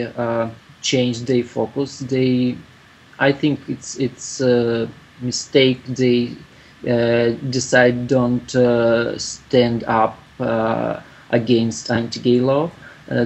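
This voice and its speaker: A man in his thirties from Russia with a Russian accent, answering interview questions in halting English full of "uh" fillers, over a webcam video call in a small room. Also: mid-range voice, vocal fry, darkish tone, low-quality recording. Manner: halting, many "uh" fillers, searching for English words. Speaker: human rights activist, interviewee.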